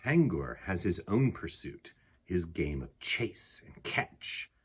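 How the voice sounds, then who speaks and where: A man reading a picture book aloud to children, gently addressing his young listeners with a husky voice. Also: deep voice, very animated, slow. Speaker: storyteller, picture book reader.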